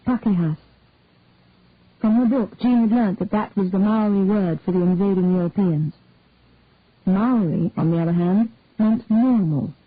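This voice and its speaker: Woman, story reading, measured, husky, phone-like audio. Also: mid-range voice, dry recording. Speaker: reader. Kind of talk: scripted narration of a detective story. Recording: husky, tinny, dry phone-like sound.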